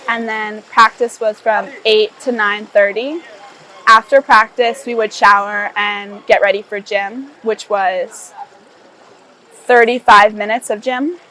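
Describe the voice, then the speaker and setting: A woman's voice: on the high side, sharp tone, rough recording. A sixteen-year-old woman from Connecticut, walking through events in an orderly, step-by-step way. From Connecticut, USA. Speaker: student athlete. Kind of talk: casual testimonial interview.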